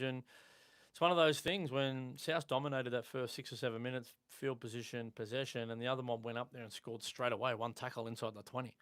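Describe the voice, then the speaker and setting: An Australian man hosting a live sports podcast, chatting in a relaxed way with frequent ums and restarts. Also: mid-range voice. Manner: relaxed, conversational with fillers. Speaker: podcast host. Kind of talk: casual sports chat.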